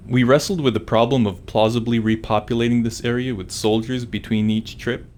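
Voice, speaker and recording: A man's voice: mid-range voice, fairly even intonation, a little fast, a little mellow. A man giving scripted commentary as a game developer.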